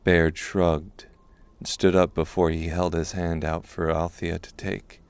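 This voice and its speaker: Deep, gravelly male audiobook narrator. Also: level tone, unhurried, no room sound.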